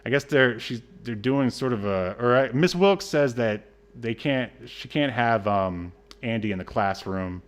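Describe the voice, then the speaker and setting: A man with a mid-pitched voice co-hosts a casual comedic podcast. He talks through a film recap in a sardonic, exasperated manner, swearing here and there. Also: lively intonation, a little slow, hardly any pauses. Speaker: podcast co-host.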